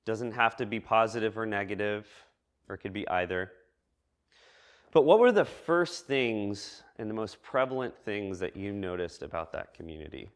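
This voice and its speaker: A man preaching a reflective message to a congregation in a measured, thoughtful way with deliberate pauses. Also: fairly low pitch, expressive, clean tone. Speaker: preacher giving a church message. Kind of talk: reflective sermon addressed to a congregation.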